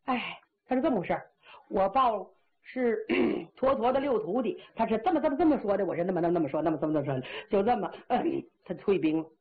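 Lady doing a loudmouth general, brags then begs, high and jumpy, sounds like over a phone. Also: expressive, fast talker, close to an everyday voice. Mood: blustering. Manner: bragging, pleading in a whiny rush. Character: boastful blustering male general, crude and comic. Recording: tinny phone-like audio.

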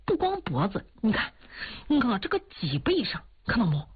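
A woman's voice: a little high, wildly swooping pitch, normal speed, breathy edge, pitched above the performer's own voice, heavily acted. A woman voicing a blunt old male opera teacher who scolds and retorts. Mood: recounting. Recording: tinny phone-like sound, faint music.

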